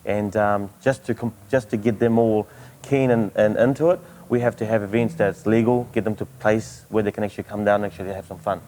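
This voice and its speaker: A man with a New Zealand accent, an event organizer, answering interview questions in an earnest, halting way with many fillers. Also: fairly low pitch, steady tone, dark tone, slight background noise. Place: outdoors at a motorsport event.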